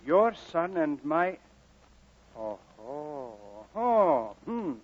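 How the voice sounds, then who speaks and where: A man's voice: on the high side, big pitch swings, drawn-out words, heavily acted. An actor playing a proud, homely miner and father in an old radio drama, blustering, repetitive and deferential. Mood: flustered, deferential. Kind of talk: 1940s radio drama acting. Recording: muffled old radio broadcast.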